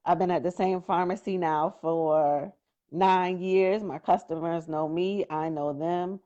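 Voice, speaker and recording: A woman in her forties talks over a video call from her phone, with a fairly low voice. The sound is muffled and dull. She is relaxed and friendly and often pauses on an um. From Connecticut. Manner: relaxed, friendly, many ums. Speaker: interview guest, pharmacist. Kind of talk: casual live-stream chat.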